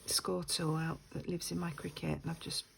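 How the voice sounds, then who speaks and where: An older woman with a croaky voice, chatting and thinking aloud as she works on a junk journal at her craft desk at home. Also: sits low, level tone, moderate pace, background noise. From United Kingdom.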